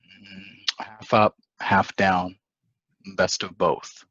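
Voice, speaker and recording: A man's voice: deep voice, flat delivery, extremely slow, fairly bright tone, full character voice. A man acting a smitten, flirtatious married man from the 1950s in a stage play table read, on a dull lo-fi recording. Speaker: actor at a table reading. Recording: dull lo-fi sound.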